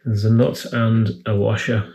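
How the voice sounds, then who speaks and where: Low-pitched man narrating a hands-on repair. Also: flat delivery, unhurried. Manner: matter-of-fact, explaining step by step while working. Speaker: repair video host. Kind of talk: casual hands-on repair tutorial. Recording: clear background noise, handling clicks.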